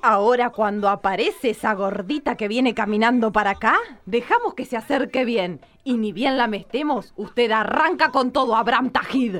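A woman's voice: fairly high pitch, normal speed, hardly any pauses, fairly bright tone, natural voice. An Argentine woman acting a bossy, mocking kidnapper villainess in a comic radio drama, scornful and haughty. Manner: scornful, haughty, barking orders, cackling.

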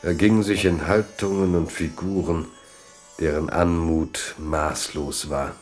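Solemn guy narrating a literary radio play, really slow and measured, bit of music underneath. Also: low-pitched, fairly even intonation, darkish tone. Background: faint music.

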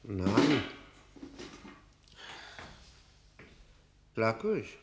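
A man acting an embittered, haunted prisoner in a German radio play, speaking in a brooding, literary, halting manner with heavy pauses. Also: lowish voice, big pitch swings, a little hiss or hum. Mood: tense, probing. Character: embittered long-term prisoner, haunted and disgusted, confiding.

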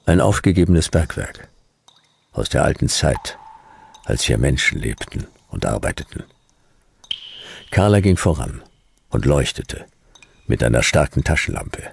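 Very deep, gravelly hard-boiled detective. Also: male voice, big pitch swings, unhurried, pauses often, slight background noise, heavily acted. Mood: dry, laconic. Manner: terse, clipped first-person storytelling. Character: hard-boiled, laconic male private detective. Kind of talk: noir radio drama narration.

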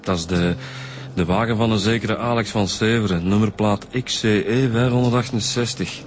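An actor playing an earnest police detective in a radio drama, talking matter-of-factly with a Flemish accent. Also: male voice, on the low side, fairly even intonation, moderate pace, slight background noise, lightly acted. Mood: focused. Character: earnest, matter-of-fact male police detective, Rudy's rank below chief. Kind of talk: naturalistic radio drama acting.

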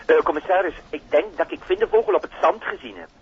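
Very husky Flemish patrol policeman. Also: sits high, huge pitch swings, fast talker, full character voice. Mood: hesitant, reporting. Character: hesitant, eager male uniformed patrol policeman. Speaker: actor in a television police drama. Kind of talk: naturalistic television drama acting. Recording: dull, lo-fi sound over telephone.

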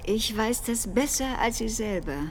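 An actress playing a possessive older female official in a radio drama, with a condescending, cloying and deliberate manner. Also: fairly high pitch, a little hiss or hum. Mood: patronizing, cloying. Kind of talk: dramatic radio play acting.

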